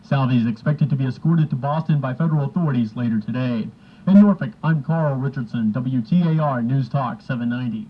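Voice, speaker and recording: A man's voice: medium pitch, a little fast. A man working as a radio reporter, reading scripted news and sports in an old lo-fi radio recording. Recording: dull lo-fi old radio aircheck.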